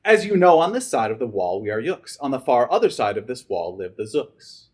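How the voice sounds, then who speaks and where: Elderly storybook grandfather, voiced by a man, indignant and preachy, with very animated, emphatic pitch. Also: fairly quick, lightly acted. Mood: indignant, grave.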